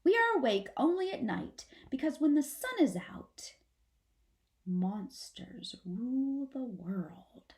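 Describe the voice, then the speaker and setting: A woman's voice: high-pitched, sing-song, slow, darkish tone, voice pushed up, exaggerated voice. A woman voicing a mother possum in a children's storybook, warning and teaching her babies in an emphatic, instructive way. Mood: grave warning.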